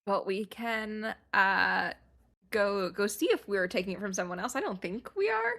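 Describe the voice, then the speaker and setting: A woman's voice: mid-range voice, animated, normal speed, vocal fry, bright, forceful tone. A woman playing a tabletop roleplaying game, chatting casually and slipping between describing and speaking as her character.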